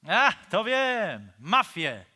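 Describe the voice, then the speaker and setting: A man's voice: high-pitched, wildly swooping pitch, very slow, pushed and bright, heavily acted. An actor playing an uptight, naive, lovesick man in an operetta radio play, grumbling and muttering in comic indignation. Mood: naive confusion.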